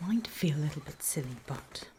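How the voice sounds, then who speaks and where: A British woman whispering a creepy ASMR roleplay as a calm, reassuring gravedigger, hushed and matter-of-fact with long pauses. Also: deep voice, noisy background.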